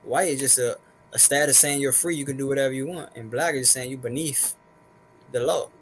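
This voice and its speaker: A man's voice: mid-range voice, fairly even intonation, brisk, fairly bright tone, slight background noise. A man on a livestream panel, explaining his view point by point with examples. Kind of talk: casual panel discussion.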